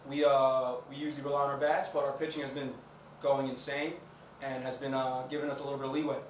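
A man's voice: medium pitch, level tone, quick pace. A young man, a college baseball catcher, giving casual answers in a post-game interview. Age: young adult. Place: indoor press conference room at a table. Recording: echoey, distant mic, faint noise.

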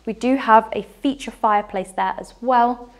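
A woman's voice: fairly high pitch, normal speed. Upbeat woman touring a house. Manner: enthusiastic, walking and gesturing while talking. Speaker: property tour presenter. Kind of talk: upbeat house tour narration. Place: large furnished house indoors.

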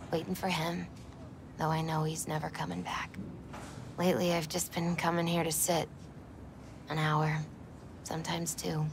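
Low-voiced wistful young American woman. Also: noisy background. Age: 20s. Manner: wistful, hesitant, plain-spoken. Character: wistful twenty-six-year-old woman mourning her boyfriend, soft-hearted. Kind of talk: video game dramatic acting.

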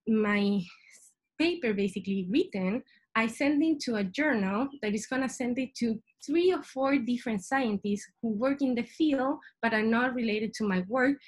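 A young woman with a Chilean Spanish accent presents science informally. Her voice sits a little high. She speaks as a graduate student explaining research over a webcam call from a room at home. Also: measured pace. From Santiago, Chile. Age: young adult. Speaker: neuroscience PhD student, presenter. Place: small indoor room at home. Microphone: webcam video call.

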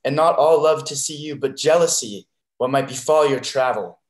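A young man acts out a devoted, wary seafarer in a classic stage play. His mid-pitched voice is earnest and halting, in an echoey room on a video call. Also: natural voice. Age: young adult. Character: devoted, wary male sea fighter in a stage comedy. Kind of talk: Shakespeare reading over video call.

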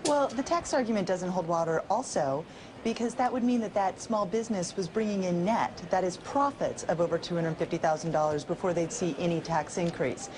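A woman in her thirties makes an economic case fluently and with an upbeat push over a remote link. Her pitch sits mid-range. The feed carries a noticeable noise bed. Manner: fluent, upbeat policy explaining. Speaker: think-tank economist guest. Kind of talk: live TV interview. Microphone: remote studio camera.

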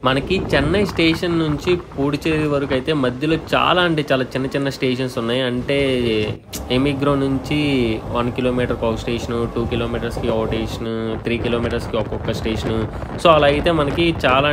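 Fast-talking man filming on a train. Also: fairly even intonation. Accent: Telugu. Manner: chatty, explains as he goes. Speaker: train travel vlogger. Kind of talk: casual travel vlog commentary. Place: inside a moving train. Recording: train rumble in background.

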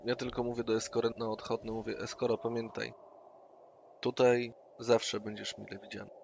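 A man's voice: mid-range voice, flat delivery, moderate pace, creaky, darkish tone. Scheming man on a dull call. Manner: persuasive, scheming. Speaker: player. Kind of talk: in-character roleplay. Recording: dull online call audio with noise.

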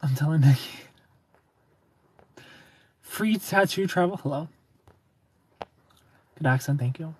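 A man's voice: on the high side, slow, long pauses, a little mellow, breathy edge. A man in his thirties, sitting in a car and chatting playfully on a live stream while he reads viewers' comments and laughs often into a phone held close. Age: 30s. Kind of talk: casual live-stream chat. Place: parked car at night, neon lights.

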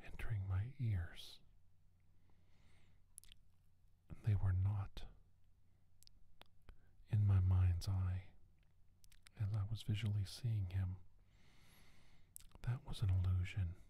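Man acting a whispering, haunted abduction victim, very slow. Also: deep voice, level tone, a little hiss or hum. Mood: haunted, hushed. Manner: hushed, halting, deliberate whisper. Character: shaken male doctor recounting his alien abduction. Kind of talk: ASMR whispered roleplay monologue.

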